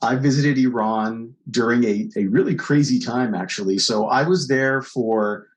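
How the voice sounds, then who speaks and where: A man's voice: mid-range voice, normal speed. A man in his forties from Canada, a podcast guest telling stories in a loose, rambling way with lots of fillers. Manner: rambling storyteller, leans on fillers. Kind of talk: casual conversation.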